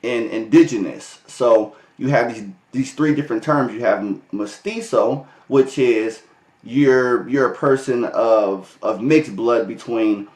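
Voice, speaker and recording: An American man talking casually to his vlog viewers, with loose chatty delivery, restarts and frequent chuckles. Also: mid-range voice. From United States. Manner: loose and conversational, many fillers and restarts, laughs often. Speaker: vlogger. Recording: echoey room.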